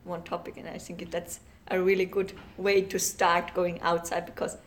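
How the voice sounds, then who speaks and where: A woman's voice: on the low side, flat delivery, normal speed, a little hiss or hum. A young woman from Liechtenstein, co-hosting a teacher podcast, talking eagerly with restarts and fillers.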